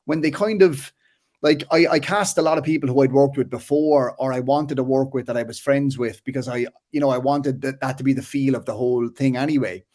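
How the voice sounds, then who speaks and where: A man in his thirties with a Waterford Irish accent, a filmmaker chatting casually as an interview guest, animated and full of restarts. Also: mid-range voice, fairly quick. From Ireland. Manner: animated, restarts often, frequent "like" and "you know".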